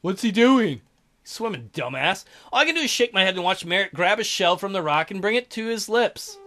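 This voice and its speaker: A man's voice: high-pitched, fast talker, bright, forceful tone. A man hosting a let's play, reading a visual novel aloud and breaking in with crude, mocking jokes.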